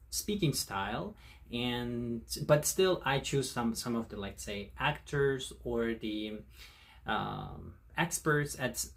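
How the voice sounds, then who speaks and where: A male vlogger talks to camera about learning languages, in a relaxed and unhurried way. He is not a native speaker of Korean, and he often stops to search for words. His voice sits at an ordinary middle pitch. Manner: slow, thoughtful, many fillers and restarts. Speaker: channel host. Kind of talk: casual talk to camera.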